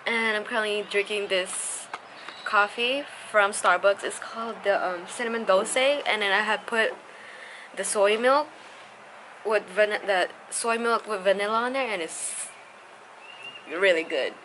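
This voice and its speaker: A woman's voice: mid-range voice, unhurried, a bit bright. A young woman chatting casually to camera in a home vlog, rambling with fillers. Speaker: family vlogger. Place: home kitchen and outdoor patio. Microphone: handheld camera at arm's length. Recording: noisy home video audio.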